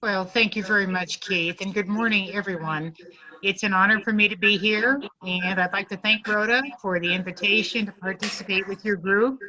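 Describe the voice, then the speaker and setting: A middle-aged woman giving a polite, formal business presentation as a guest speaker in an online meeting, with deliberate emphasis. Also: mid-range voice, fairly even intonation, sharp tone, poor audio. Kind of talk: prepared business presentation to an online networking group.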